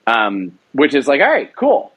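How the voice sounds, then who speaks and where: A man's voice: mid-range voice, huge pitch swings, unhurried, fairly bright tone, breathy edge. A man, a drama producer, talking earnestly in an interview, emphatic and often repeating words as he thinks. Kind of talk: passionate conversational interview.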